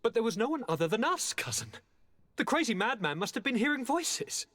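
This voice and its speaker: An actor playing a cheeky, blithe young highborn gentleman in a fantasy game, with a breathy voice and wry, courtly, theatrical manner. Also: big pitch swings, fairly quick, heavily acted. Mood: animated recounting. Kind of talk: dramatic fantasy game acting.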